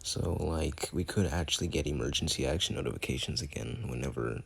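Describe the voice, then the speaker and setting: Man acting very deep, gravelly podcast host. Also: exaggerated voice. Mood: uneasy, casual. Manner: halting, repeats words. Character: rattled male podcast host chatting about crisis. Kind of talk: casual chat.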